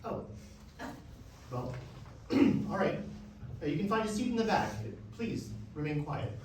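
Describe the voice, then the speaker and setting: A very echoey, distant stage recording. A man acts a coolly critical professor with a fairly high, animated voice, lecturing in a curt and exacting way. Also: measured pace. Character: stern, demanding male music professor, coolly critical. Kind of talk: staged reading of a musical. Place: echoey room, staged reading. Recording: very echoey, distant, noisy room.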